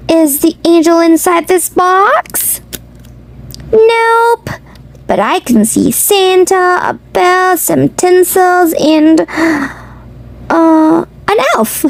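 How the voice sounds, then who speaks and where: Female children's read-aloud host, extremely slow delivery, big lively pitch swings, speaks straight to young listeners. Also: gravelly, slight background noise. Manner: sing-song, exclamatory, addressing young children directly.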